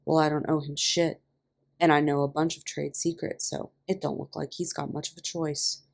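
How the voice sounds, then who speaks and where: Woman voicing a curt, gruff male inmate, creaky. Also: sits low, level tone, moderate pace. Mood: quiet, unbothered. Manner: curt, mumbling, slangy.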